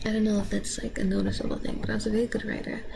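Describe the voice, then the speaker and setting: Female streamer, casual soft-spoken chat with a creaky edge, close ASMR mic, slight background noise. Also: mid-range voice, flat delivery, racing. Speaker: streamer, host of a VTuber live stream. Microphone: binaural ASMR microphone.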